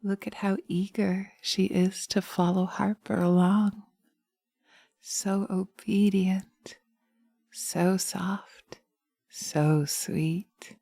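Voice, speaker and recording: A woman playing a teasing, sweetly sinister witch in a hypnosis roleplay, coaxing slowly and soothingly into a close binaural mic, often dropping to a whisper. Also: medium pitch, fairly even intonation, gravelly, dark tone, no echo, heavily acted. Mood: hushed, hypnotic. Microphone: close binaural microphone.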